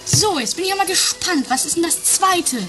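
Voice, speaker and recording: A woman's voice: very high voice, lively intonation, normal speed, over music. A woman playing a skeptical, easily exasperated guru's apprentice, hesitant and incredulous, in an improvised comic radio play. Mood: skeptical, grudging.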